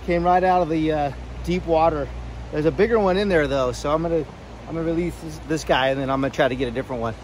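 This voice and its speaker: A man's voice: on the high side, quick pace, darkish tone, a little hiss or hum. A man filming himself fly fishing outdoors by a river, talking casually with many fillers and restarts.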